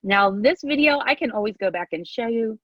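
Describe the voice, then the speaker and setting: A woman's voice: on the high side, lively intonation, brisk, no room sound, dull audio. A woman in her fifties from New Jersey with a Southern New Jersey accent, giving a chatty talk over a video call and laughing often. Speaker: guest speaker. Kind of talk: casual talk, online presentation.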